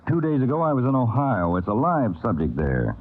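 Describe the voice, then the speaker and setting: An actor playing a shrewd, authoritative counterspy chief in a vintage radio drama, clipped and decisive in delivery. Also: male voice, mid-range voice, wildly swooping pitch, fairly quick. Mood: pondering. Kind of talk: 1950s radio drama acting. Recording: tinny old radio broadcast.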